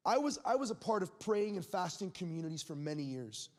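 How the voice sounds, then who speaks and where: A young man in his late twenties preaches in a loose, candid way, laughing and turning to the crowd with questions. His voice sits high, and a long reverb trails his words around a large hall. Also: sing-song, a little fast. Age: 20s. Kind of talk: sermon.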